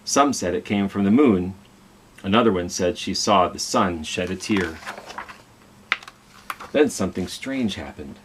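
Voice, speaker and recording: A man's voice: deep voice, a bit bright, slight background noise, low-quality recording. A man reading a picture book aloud as a children's storytime host, telling it dramatically and putting questions to his young listeners. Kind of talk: expressive children's read-aloud.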